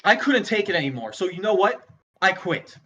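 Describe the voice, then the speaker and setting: A man acts out a struggling stand-up comic with a high, bright voice. He stammers and halts through his act, flustered and exasperated, a volatile performer on a short fuse in a dramatic script read. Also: very animated, moderate pace, husky, dull audio, full character voice. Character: flustered, volatile failing male stand-up comedian. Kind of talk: dramatic screenplay table read, stand-up monologue.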